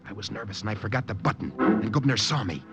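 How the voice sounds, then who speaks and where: An actor playing an anxious, captured undercover agent in a 1950s radio drama, speaking in tense, halting phrases. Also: male voice, fairly low pitch, heavy background noise, lightly acted. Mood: anxious brooding. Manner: tense halting inner monologue, stammering. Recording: old radio broadcast with music underneath.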